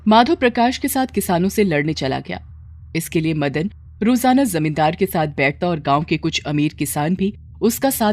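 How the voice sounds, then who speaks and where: Female storyteller, slightly low voice, animated intonation, scripted narration over faint music.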